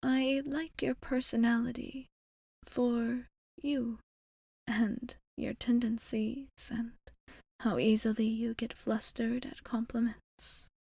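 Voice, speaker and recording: Woman acting a slow, whispery, tender vampire woman. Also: fairly high pitch, little pitch movement, no room sound, heavily acted. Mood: wistful, tender. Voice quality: soft, breathy, half-whispered. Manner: slow, measured, formal, intimate. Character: ancient female vampire, tender, formal, quietly lovestruck bar owner. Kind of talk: ASMR roleplay. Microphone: close microphone.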